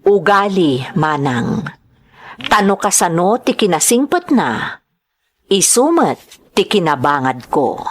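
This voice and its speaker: A woman's voice: fairly low pitch, big pitch swings, takes it slowly, long pauses. A woman with a Filipino accent, a program host and storyteller, reading aloud to her listeners. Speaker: host and storyteller of a drama channel. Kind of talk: reading greetings and a listener's letter.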